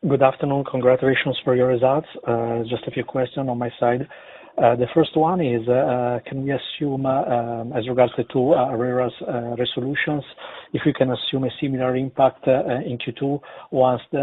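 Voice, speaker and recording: A man's voice: medium pitch, steady tone, normal speed, no room sound. A male analyst phones in to a conference call with formal questions. He is polite and hesitant as he lists them, on a dry, narrow telephone line. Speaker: financial analyst asking questions.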